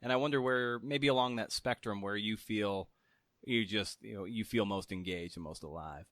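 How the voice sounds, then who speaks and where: A man with a fairly low voice speaks as a podcast host in a loose, informal style, rephrasing himself as he goes. The sound is close and dry. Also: moderate pace, a bit bright, no echo. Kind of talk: conversational interview and podcast narration.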